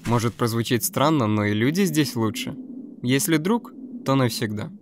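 A seasoned stalker guide, played by a man, wary and world-weary, in a cartoon dub. He has a mid-pitched voice over clearly noisy outdoor ambience with birds. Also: normal speed, close to an everyday voice. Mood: reflective. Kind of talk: dubbed animated web series dialogue.

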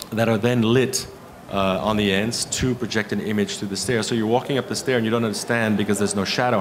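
A man in his fifties with a low voice presents at a conference. He speaks haltingly, pausing often between phrases. Clear room noise sits behind him. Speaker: keynote speaker, architect. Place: conference hall stage at a lectern.

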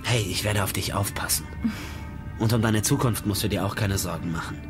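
A man's voice: lowish voice, a little fast, lightly acted. Protective, brusque man, played by an actor, over music. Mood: earnest. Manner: earnest, curt with intruders. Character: protective, brusque man guarding a young woman. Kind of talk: German crime audio drama acting.

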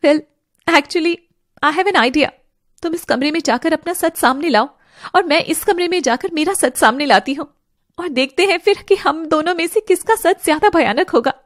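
A woman voicing a young lovestruck fairy in an animated story, earnest, defiant and emotional. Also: high-pitched, normal speed, no echo, studio quality, pitched above the performer's own voice, natural voice. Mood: resolute. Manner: emotional, dramatic delivery.

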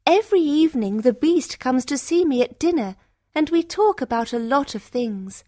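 A woman reading a storybook aloud and voicing Beauty, a gentle, kind young woman with a sweet, bright voice. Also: high voice, animated, normal speed, no room sound, clean studio sound, pitched above the performer's own voice. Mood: contented.

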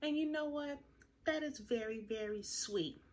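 Woman, 30s, upbeat home vlogger; slightly high, unhurried, a touch nasal; phone-mic close. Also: a little mellow. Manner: chatty, upbeat, addresses viewers directly. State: slightly nasal, recovering from illness. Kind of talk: casual haul vlog. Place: small room at home, plain grey wall.

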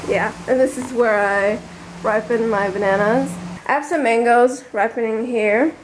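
Young woman chatting slowly, swearing casually. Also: fairly high pitch, fairly even intonation, echoey room, background noise. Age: 20s. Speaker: travel vlogger. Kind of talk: casual vlog with room tour. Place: small rented room in a residence. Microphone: handheld camera at arm's length.

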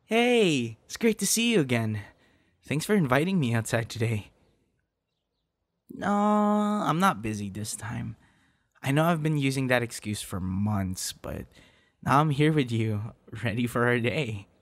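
A man playing a withdrawn, tender young man in an intimate boyfriend roleplay, speaking softly and haltingly. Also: medium pitch. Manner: hesitant, halting, soft-spoken. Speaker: audio roleplay performer.

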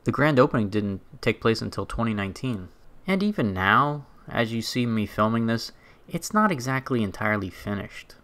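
A man's voice: mid-range voice, expressive, moderate pace, fairly bright tone. A young man narrating a walking tour for his travel vlog in a casual, chatty way, with fillers and restarts. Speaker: travel vlogger.

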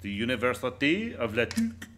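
Man playing dry, mid-pitched American interviewer. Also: very animated, fairly quick, fairly bright tone, soft background music. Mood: incredulous. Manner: dry, incredulous. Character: exasperated, skeptical male radio interviewer. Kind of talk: live comic radio theater acting. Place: theater stage with live audience.

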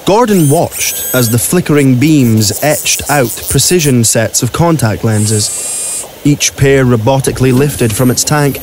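A man's voice: medium pitch, measured pace, a little mellow, slight background noise. A man narrating a scripted audio drama as a storyteller. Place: recording studio. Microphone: close studio microphone.

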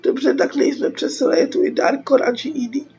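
A woman's voice: sits high, steady tone, mellow. A young woman with a Czech accent and a cold, casually commenting on a game in a rambling, slangy, sarcastic way as host. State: sick with a cold. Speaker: gaming video host. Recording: dull lo-fi voice track over music. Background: game music.

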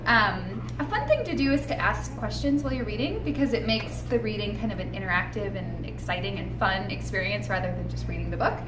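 A woman in her thirties presenting a storytime for young children in an animated, expressive way, recorded indoors a few steps from the camera. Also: a little high. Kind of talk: read-aloud and tips for young children. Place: indoor room with painted mural wall. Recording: roomy echo, noticeable background noise.

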